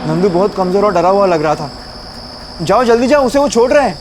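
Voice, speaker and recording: A man's voice: high-pitched, expressive, a little fast, heavy background noise. A man with a Marathi accent playing a worried, helpful family acquaintance in a TV crime drama. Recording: loud background music.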